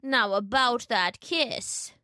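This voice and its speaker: A woman voicing a sly wolf who impersonates a sick old grandmother, speaking slowly with feigned sweetness. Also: bright, forceful tone, no room sound, clean studio sound. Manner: slow, feigned sweetness, wavering pitch.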